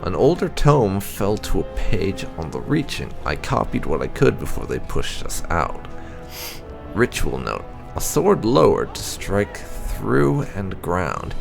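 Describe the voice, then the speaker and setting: A man's voice: sits low, very animated, slow pace, creaky, over music, exaggerated voice. A man voicing an eager, suspicious young apprentice mage from a fantasy game, insistent and persuading. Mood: urgent, insistent.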